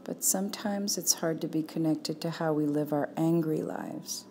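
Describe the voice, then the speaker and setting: Female meditation guide, mellow and on the lower side, calm, unhurried delivery with roomy pauses between phrases, faint background noise. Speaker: meditation teacher.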